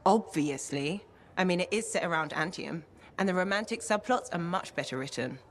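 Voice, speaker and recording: Woman playing low-voiced, dry-witted bookish code-breaker. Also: expressive, moderate pace, close to an everyday voice. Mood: dry, teasing. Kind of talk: video game dialogue acting.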